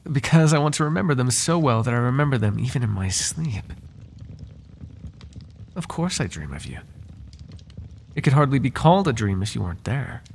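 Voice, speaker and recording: Male actor as a devoted butler, ASMR roleplay, gravelly edge, lively swooping pitch, formal courtly phrasing, tender teasing flirt. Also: normal speed, long pauses, exaggerated voice. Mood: adoring, lovestruck. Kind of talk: intimate ASMR roleplay acting.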